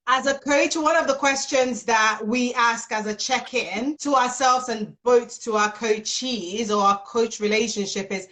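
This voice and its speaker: A woman in her thirties from Nigeria, speaking with a Nigerian accent. She is an HR business partner chatting casually in a live interview over a phone video call, with a big, energetic, expressive voice. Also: on the high side, measured pace, hardly any pauses.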